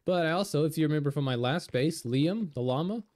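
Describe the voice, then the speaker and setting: A man talking casually over gameplay, hoarse and a little raspy from being sick, rambling with lots of fillers. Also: on the high side, a little fast. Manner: relaxed, rambling, many fillers. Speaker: game video creator.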